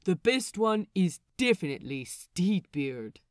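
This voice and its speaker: A woman's voice: on the low side, very animated, a little slow, dry recording, clean studio sound. A woman voicing a blunt, opinionated male pirate crewman with a dismissive manner in a story reading. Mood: scoffing.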